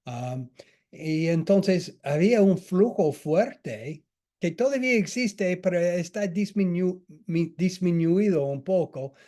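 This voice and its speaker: Slow, halting lecturer with a mellow voice. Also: male voice, sits high, expressive. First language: not Spanish. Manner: halting, many restarts and fillers. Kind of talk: academic lecture. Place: lecture hall.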